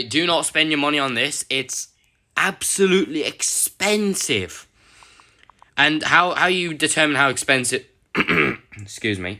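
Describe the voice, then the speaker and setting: A man with a half-lost, hoarse voice, rambling through a casual mobile game tutorial with lots of self-corrections. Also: medium pitch, pushed and bright. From United Kingdom. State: partly lost voice, throat-clearing. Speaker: gaming channel host.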